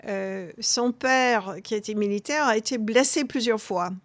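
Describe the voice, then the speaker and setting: A woman, talking as a guest author in an interview, halting with many restarts and fillers. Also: a little high, dry recording. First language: English. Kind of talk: interview conversation.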